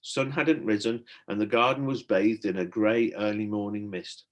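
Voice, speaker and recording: A man narrating a children's storybook in an expressive, dramatic storytelling manner, like a teacher reading aloud. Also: fairly low pitch, normal speed, dull audio.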